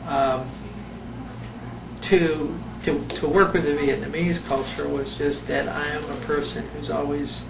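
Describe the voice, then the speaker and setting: An older man, a retired psychologist, answering interview questions slowly and thoughtfully, pausing often. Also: fairly high pitch, little pitch movement, creaky. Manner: slow, thoughtful, with many pauses and fillers. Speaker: retired behavior psychologist, volunteer. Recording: echoey room, mic at a distance, background noise.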